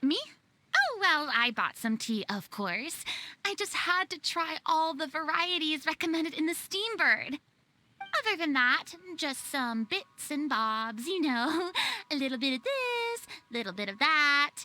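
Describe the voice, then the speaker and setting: A woman's voice: high voice, sing-song, measured pace, bright, forceful tone, a little hiss or hum, exaggerated voice. A woman playing a flustered, theatrical young former leader in a video game, polite and eager, with a stammering, dramatic delivery. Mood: evasive. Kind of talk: video game character acting.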